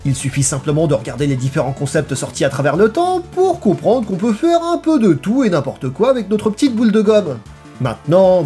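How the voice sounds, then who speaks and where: A narrator delivering a scripted video review in an expressive, emphatic way. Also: medium pitch.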